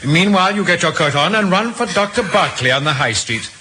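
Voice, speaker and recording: An actor playing a formal, guarded doctor and husband in an old radio drama, speaking with careful hesitation. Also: male voice, sits high, expressive, a little fast, bright, forceful tone, husky, heavily acted. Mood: concerned. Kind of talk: 1940s-50s radio acting.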